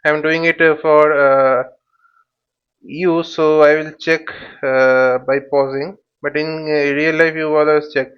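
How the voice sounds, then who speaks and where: A man giving an informal flight simulator tutorial, explaining haltingly as he thinks aloud. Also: on the high side, little pitch movement, clean tone, highs cut off. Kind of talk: informal tutorial commentary while flying a simulator.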